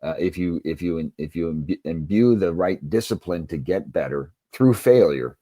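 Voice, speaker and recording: A man's voice: low-pitched, expressive, moderate pace, mellow, dry recording, clean studio sound. A man speaking as a coach and interview guest, answering conversationally in an earnest, emphatic way.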